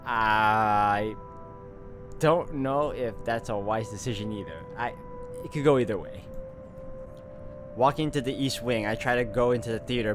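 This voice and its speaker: A man doing a let's play, reading a visual novel aloud and adding casual asides as he thinks aloud. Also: on the low side. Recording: music behind the voice.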